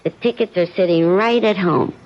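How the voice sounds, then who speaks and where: An actress playing a conniving, cheating wife, coaxing in manner, in a radio drama. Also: female voice, lowish voice, normal speed, a little mellow, dull audio, close to an everyday voice. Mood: coaxing, scheming. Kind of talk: 1970s radio drama acting.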